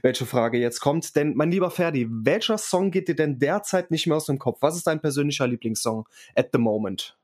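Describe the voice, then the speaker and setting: A man's voice: a little high, lively intonation, a little fast, darkish tone. A man hosting a music interview, speaking with enthusiasm and frequent hesitant fillers. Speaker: podcast host and interviewer.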